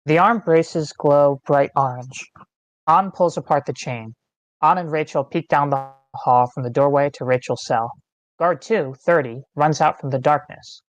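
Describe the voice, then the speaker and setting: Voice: mid-range voice, a little slow, no room sound, dull audio. Dry-sounding narrator reading clipped stage directions. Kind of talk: scripted screenplay narration. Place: online meeting, close dry mic.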